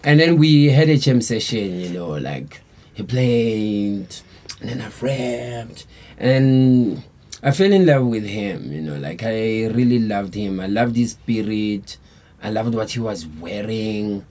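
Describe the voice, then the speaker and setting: A South African man in a casual interview, spinning his story in a loose, rambling way. His voice is husky and dark, with a mellow, easygoing tone. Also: lowish voice, lively intonation, slow pace. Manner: laid-back, rambling, peppered with slang fillers. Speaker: band member, guest.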